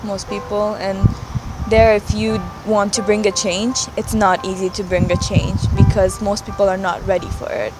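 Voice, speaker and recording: A young woman in her late teens answers interview questions with an earnest, casual delivery. She fills her sentences with "like" and restarts them often, and her pitch sits in an ordinary middle range. Music plays clearly behind her voice. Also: little pitch movement, normal speed, rough recording. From Afghanistan. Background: background music.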